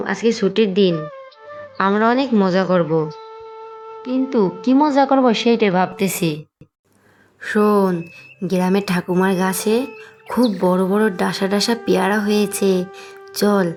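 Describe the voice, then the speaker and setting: A woman's voice: mid-range voice, measured pace, pauses often, darkish tone, soft background music, close to an everyday voice. A woman voicing a bossy, scheming, argumentative young village girl in a cartoon. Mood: scheming, excited. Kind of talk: cartoon voice acting.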